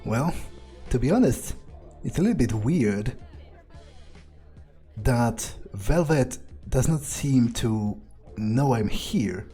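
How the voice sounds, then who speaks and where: Guarded male vampire club owner, roleplayed by a man in a video game: dry, hesitant, slow and mellow, faint music behind. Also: medium pitch, expressive, husky. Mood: puzzled musing. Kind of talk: in-character improvised game roleplay.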